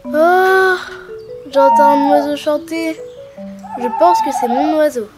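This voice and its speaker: High-pitched woman voicing an eager little girl. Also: slow, loud music behind the voice. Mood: delighted, tender. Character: affectionate young girl, cartoon daughter.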